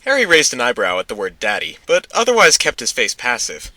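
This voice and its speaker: A man's voice: mid-range voice, huge pitch swings, brisk, sharp tone, no room sound. A man narrating an amateur dramatic reading of fan fiction, with casual asides.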